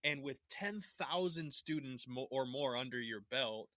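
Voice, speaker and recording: A man's voice: medium pitch, unhurried, bright, forceful tone, no room sound, studio quality. A man hosting a sales podcast, speaking in an earnest, affirming way as he interviews his guest. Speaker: podcast host.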